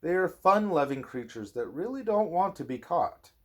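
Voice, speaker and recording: A man reading a children's storybook aloud as a father would, with expressive, measured narration and dramatic pauses. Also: on the high side.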